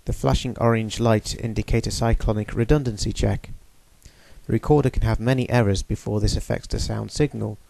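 A man giving a measured, technical demonstration of a studio machine. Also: lowish voice, fairly even intonation, creaky, a little mellow, no room sound, poor audio. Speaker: equipment demonstrator. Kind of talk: explanatory voice-over demonstration.

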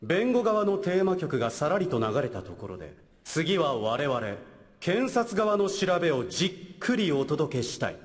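A grandiloquent young prosecutor in a staged courtroom comedy. He is formal and haughty, with dramatic swings of pitch in a reverberant concert hall. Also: very animated, normal speed. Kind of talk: staged comic courtroom skit.

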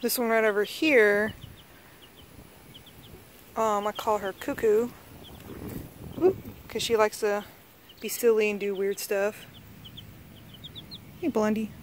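A woman's voice: medium pitch, steady tone, slow pace, pauses often, a little hiss or hum. A woman talking casually to her phone camera outdoors in a backyard, coaxing her pets in a sing-song voice. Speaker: vlogger. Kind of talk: casual chat. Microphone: handheld phone camera.

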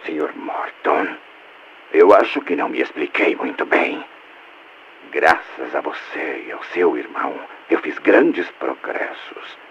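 A sinister male game villain, extremely low and gravelly. He speaks with smug, mocking politeness and measured menace. The sound is a muffled, noisy tape. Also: animated, full character voice. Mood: coldly menacing. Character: calm, condescending, sinister male mastermind villain. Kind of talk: dubbed video game acting. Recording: muffled noisy taped radio-like recording.

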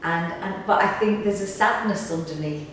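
A woman's voice: mid-range voice, normal speed. A middle-aged woman, chatting reflectively in an interview as an actor. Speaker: pantomime actor being interviewed. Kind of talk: casual interview chat. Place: small indoor room on a sofa. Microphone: camera a few metres away. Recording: roomy, distant mic, clear background noise.

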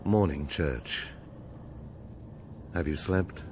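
A man playing a stern, commanding leader of a secret agency in a game cutscene, measured and authoritative. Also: rumbling low, slight background noise, full character voice. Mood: measured, serious.

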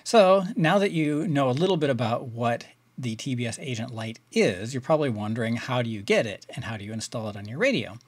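A man's voice: medium pitch, expressive, a little fast, hardly any pauses, no room sound. A middle-aged man with an American accent hosting a casual tutorial, explaining things step by step in a conversational way. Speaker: video tutorial host.

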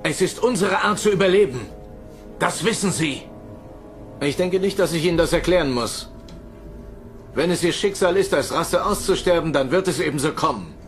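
A man's voice: a little high, normal speed, pauses often, faint music behind, lightly acted. A man playing an impatient, ruthless alien commander in a sci-fi radio drama, curt and authoritarian. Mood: angry, contemptuous.

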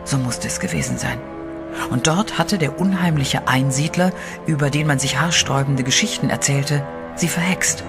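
A man narrates a horror radio play in a measured, dark storytelling way. His voice sits at a middle pitch. Clear music runs behind him throughout. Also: big pitch swings.

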